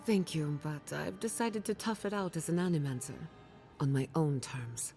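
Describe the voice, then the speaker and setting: A woman's voice: low-pitched, normal speed, warm tone, soft background music. An actress playing a determined, independent rebel woman in a fantasy video game cutscene. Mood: calm, independent.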